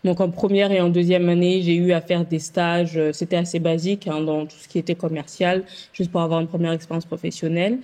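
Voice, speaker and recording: A woman's voice: on the low side, flat delivery, brisk, mellow. A young woman from the Paris region, a podcast guest on a remote call, who talks openly and in detail with many hesitations. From France, Paris region. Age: young adult. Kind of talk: casual conversation.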